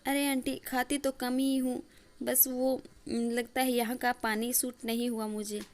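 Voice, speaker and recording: Woman acting a high, whiny young daughter-in-law. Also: little pitch movement, a little slow, lightly acted. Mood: wheedling. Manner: complaining, indignant.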